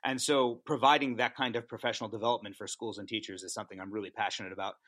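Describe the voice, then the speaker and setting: A man talking about teaching as a podcast guest, animated and passionate, often asking "right?". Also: on the low side, rapid-fire, dry recording. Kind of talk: casual interview chat.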